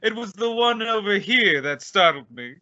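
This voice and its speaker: A man's voice: sits high, lively intonation, moderate pace, sharp tone, full character voice. An actor playing a pompous, blustering, flustered male school principal who stammers indignantly in old-time radio comedy style. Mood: nervously jovial. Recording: dull lo-fi recording.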